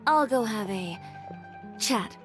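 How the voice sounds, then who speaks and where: An actress playing a confident, sharp-tongued young woman in an anime game, with a dry, cutting, controlled manner. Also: on the high side, expressive, takes it slowly, music in the background. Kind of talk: anime game character acting.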